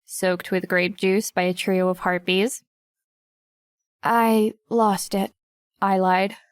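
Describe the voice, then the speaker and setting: A woman's voice: mid-range voice, fairly even intonation, slow pace, smooth, dry recording, studio quality, lightly acted. An actress playing a wry, self-conscious teenage girl narrating her own story in an audiobook. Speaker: lead narrator. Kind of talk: first-person audiobook narration.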